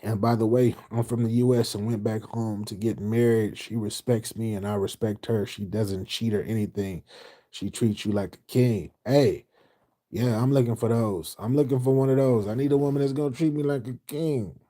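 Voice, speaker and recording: Laid-back low-voiced Texas man riffing. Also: fairly even intonation, moderate pace, mellow. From Houston, Texas. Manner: loose, slangy, repeats phrases, trails off. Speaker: livestream host reading chat. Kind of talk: casual late-night livestream chat.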